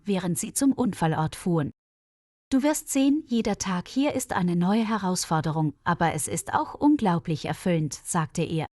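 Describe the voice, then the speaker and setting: A woman reads a scripted story for learners in a measured, clearly articulated way. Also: lowish voice, dry recording, clean studio sound. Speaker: narrator of a language-learning audio story.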